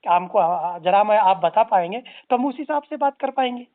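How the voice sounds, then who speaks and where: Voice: medium pitch, expressive, brisk. An activist giving a formal, stern, articulate admonishment over the phone. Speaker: anti-drug social organisation activist. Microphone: phone line. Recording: tinny narrowband phone-call recording.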